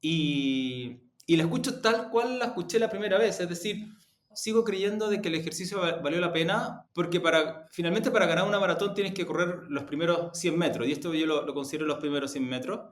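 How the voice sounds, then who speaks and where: A man giving measured, reflective answers as an interviewed executive. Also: on the high side. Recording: roomy, echoing room.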